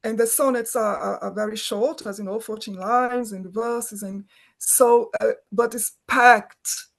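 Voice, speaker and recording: A woman's voice: a little high, fairly even intonation, a little slow, vocal fry. A middle-aged woman from Brazil, a native Portuguese speaker, talking as a filmmaker guest in an interview, often restarting her phrases as she searches for words. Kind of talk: conversational interview.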